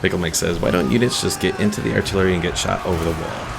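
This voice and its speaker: A man's voice: sits low, very fast, vocal fry, noisy background. A man doing casual, chatty gameplay commentary as a streamer and reading out viewer chat, with game music behind him. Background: video game music.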